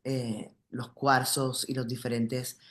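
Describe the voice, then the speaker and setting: A woman with a very low voice, deep enough to pass for a man's, hosts the conversation. Her Spanish carries a Caribbean accent from Puerto Rico, and she talks in a casual interviewing style. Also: level tone, takes it slowly. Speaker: interview host.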